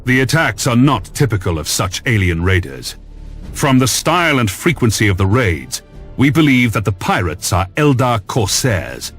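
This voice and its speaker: An actor playing a stern, authoritative naval lord admiral in a video game, briefing in a formal military manner. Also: male voice, medium pitch, big pitch swings, normal speed, fairly bright tone, slightly rough, soft background music.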